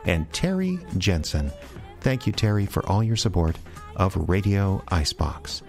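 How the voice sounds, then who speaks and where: A man's voice: deep voice, big pitch swings, a little slow. A man hosting a podcast, delivering a scripted introduction and thank-yous to listeners. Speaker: podcast host. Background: faint music.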